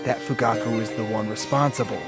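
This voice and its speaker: A man playing a serious, pragmatic adviser with a firm, deliberate manner in an anime fan radio drama. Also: on the low side, steady tone, over music, natural voice. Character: serious, pragmatic male adviser to a ninja investigator.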